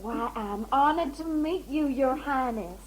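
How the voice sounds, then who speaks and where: A flirtatious Southern hostess in an audio play. Her voice is high and very animated, full of coy, sugary gush. A faint noise sits on the amateur recording. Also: a little slow. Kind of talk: fan audio sci-fi drama acting. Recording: noisy amateur audio-drama tape.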